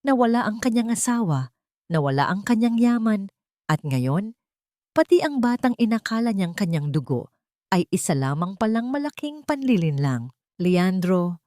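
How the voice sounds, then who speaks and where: A woman reading a scripted romance drama as narrator, in a measured, solemn storytelling manner. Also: fairly high pitch, very animated, darkish tone, no echo, studio quality.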